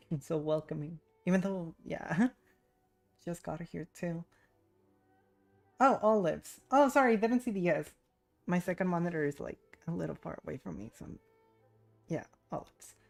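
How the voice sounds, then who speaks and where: A woman's voice: lowish voice, expressive, normal speed, gravelly, pauses often, a little mellow. A woman livestreaming a game with casual, rambling, giggly chat. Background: game music.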